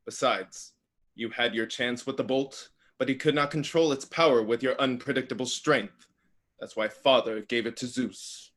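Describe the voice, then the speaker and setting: A man's voice: medium pitch, moderate pace, a bit bright, lightly acted. A man playing a domineering eldest-brother god of the underworld, condescending and threatening, in a staged play reading.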